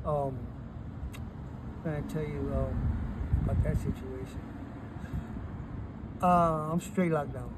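A middle-aged man with a fairly high voice tells his story in an animated, gesturing way. He sits outdoors by a chain-link fence, filmed close on a phone. Cars and street ambience run clearly behind him. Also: takes it slowly, long pauses. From Texas. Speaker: interviewee. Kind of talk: casual street interview. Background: traffic and street ambience.

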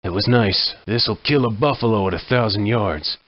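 Game hero, male actor as sardonic frontier lawman: raspy, lowish, terse and wry, on slightly dull audio. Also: moderate pace, close to an everyday voice. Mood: wry, tough. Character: gruff, sardonic Wild West sheriff gunslinger hero. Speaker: voice actor for a game mod protagonist. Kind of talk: video game character one-liners.

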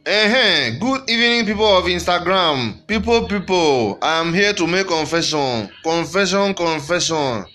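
A man's voice: fairly high pitch, expressive, unhurried, hardly any pauses, sharp tone. A man playing a pompous self-proclaimed Nigerian chief with a put-on Nigerian accent, declaiming into a phone selfie camera. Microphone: phone selfie camera close up.

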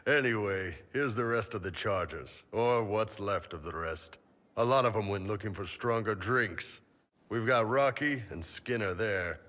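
An actor playing a big, gruff and jovial horned mercenary captain in a fantasy game, easygoing and teasing. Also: male voice, lowish voice, pushed and bright, long reverb tail. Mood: hearty. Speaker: mercenary company leader.

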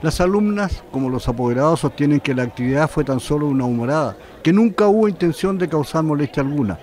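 A man narrating a local television news report in a formal, even reading voice. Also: fairly high pitch, fast, a faint crowd.

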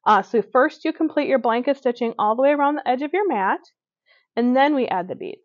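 A woman's voice: sits high, lively intonation, fairly quick, no room sound, dull audio. A woman demonstrating a beaded edging stitch step by step, talking as she works with fillers and small self-corrections. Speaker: stitching instructor. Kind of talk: hands-on craft demonstration. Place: indoors at a table.

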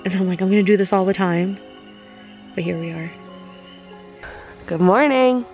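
A woman's voice: medium pitch, normal speed, darkish tone, faint music behind. A young woman chatting casually into a handheld camera on a camping trip, laughing often. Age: young adult. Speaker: vlogger. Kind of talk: casual vlog chat.

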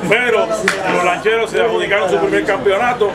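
A man giving a brisk, reporter-like wrap-up to the camera outdoors. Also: high voice, fairly even intonation, a loud crowd. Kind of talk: casual sign-off to camera.

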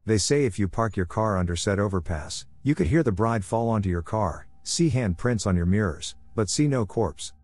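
A man narrating first-person scary stories with dry, wry asides, soft music behind him. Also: fairly low pitch, no echo.

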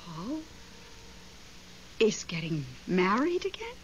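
A woman's voice: medium pitch, wildly swooping pitch, slow pace. A woman playing a devoted, insistent middle-aged widow with an emotional, theatrical delivery in a 1970s radio drama. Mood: anxious, hurt. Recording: dull, noisy old radio broadcast recording.

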